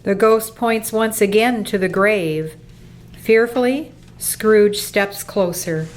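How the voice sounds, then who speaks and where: A woman narrating a radio play as a measured, solemn storyteller, reading from a script. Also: medium pitch, natural voice. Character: storyteller of a ghostly Christmas tale, measured and solemn.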